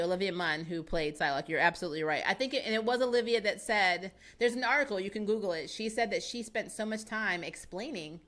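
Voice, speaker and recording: A woman in her thirties with an American accent, hosting a casual live stream from a small home studio, chatty and animated, laughing often and thinking aloud. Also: on the low side. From United States. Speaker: live-stream host reading chat. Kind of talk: casual chat. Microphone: boom-arm studio microphone close to the mouth.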